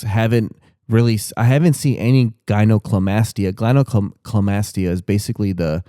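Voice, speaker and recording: A man's voice: fairly low pitch, dark tone, clean tone, dry recording, studio quality. A man in his thirties talking casually on a podcast, candid and rambling, with restarts and casual swearing. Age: 30s. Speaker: podcast co-host.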